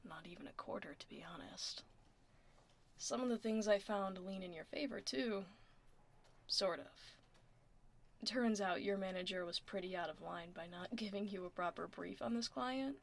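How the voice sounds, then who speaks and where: A woman playing a laid-back tomboy werewolf office admin in a soft, casual, wry ASMR roleplay. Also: mid-range voice, normal speed, vocal fry. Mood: confiding, wry. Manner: casual, reassuring, wry, murmuring. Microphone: close binaural ASMR microphone. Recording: close binaural mic, faint background noise.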